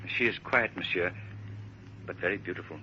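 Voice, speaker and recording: An actor playing an earnest, anxious twenty-year-old student in a 1930s radio drama, halting and polite. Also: male voice, low-pitched, sharp tone. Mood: earnest, worried. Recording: muffled, hissy old radio broadcast.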